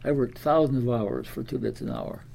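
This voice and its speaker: A man's voice: medium pitch, normal speed, vocal fry, dark tone, a little hiss or hum, poor audio. A man of ninety from Iowa, telling his life story in an oral history interview, rambling with many fillers and restarts. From Des Moines, Iowa. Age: 90s.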